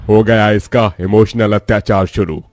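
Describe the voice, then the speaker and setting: A man voices an elderly father-in-law in a family tale. He sounds low and plain-spoken, kindly and protective. The recording is dry, with faint music behind. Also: steady tone, normal speed, no echo, lightly acted. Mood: firm. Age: older.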